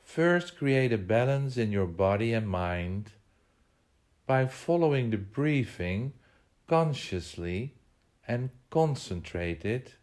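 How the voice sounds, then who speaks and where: A man leading a guided meditation, giving measured instructions in short phrases with pauses between them. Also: medium pitch, animated, a little mellow.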